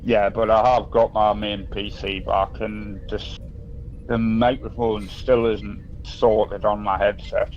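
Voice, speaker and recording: A man's voice: lowish voice, flat delivery, slow, darkish tone, a little hiss or hum. A man from Newcastle with a Geordie accent, calling in on his phone and chatting in a rambling, hesitant way. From Newcastle upon Tyne. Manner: rambling, hesitant, lots of ums. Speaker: caller. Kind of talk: casual chat. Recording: dull muffled phone mic.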